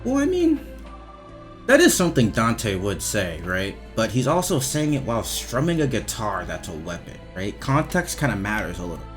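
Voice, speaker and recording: A young man with a fairly low voice gives loose, casual game commentary. His pitch moves around a lot as he hesitates, repeats himself and talks informally. Faint music sits behind him. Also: huge pitch swings, moderate pace. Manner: hesitant, repetitive, swearing informally. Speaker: video game reviewer.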